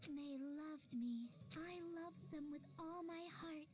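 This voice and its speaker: A woman's voice: high voice, slow. A woman voicing a lovesick, obsessive young woman who whispers and giggles. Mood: rapturous. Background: game music.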